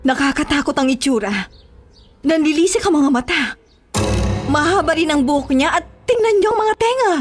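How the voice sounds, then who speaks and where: Casting: actress as possessive, protective young wife, high female voice, horror audio play. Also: lively intonation, normal speed, soft background music. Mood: frightened. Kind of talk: Tagalog horror audio drama acting.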